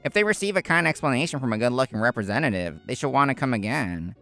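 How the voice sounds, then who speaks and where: A man acting a vain, excitable young office worker. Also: mid-range voice, fast, soft background music. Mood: smug. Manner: indignant, smug, stammering when flustered.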